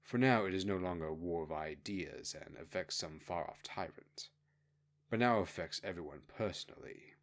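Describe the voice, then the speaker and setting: A man reads as a calculating, vengeful ruler in an audiobook. His voice sits low, and the delivery is measured, formal and quietly menacing. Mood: cold resolve. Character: cold, calculating, vengeful imperial schemer, man.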